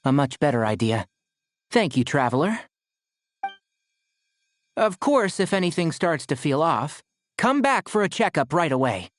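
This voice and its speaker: A man voicing a gentle, composed young male doctor with dry wit in a video game, polite and measured. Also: fairly high pitch, sing-song. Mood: calm, caring. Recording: music playing behind the voice.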